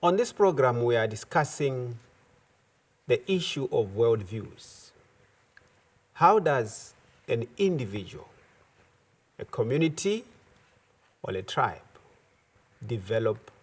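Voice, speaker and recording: Male host, husky, animated pitch, measured delivery. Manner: measured, deliberate phrasing. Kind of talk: formal talk show hosting.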